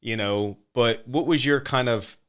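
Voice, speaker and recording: A man hosting a podcast, upbeat and relaxed, with many fillers and repeated words. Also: mid-range voice, measured pace, clean tone. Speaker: podcast host. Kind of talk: casual interview chat.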